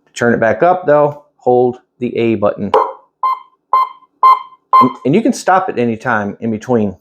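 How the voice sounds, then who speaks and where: A man's voice: medium pitch, expressive, measured pace, background noise. A man giving a casual, hands-on product demonstration indoors, thinking aloud in a plain-spoken, rambling way. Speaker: product reviewer. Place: indoors at home.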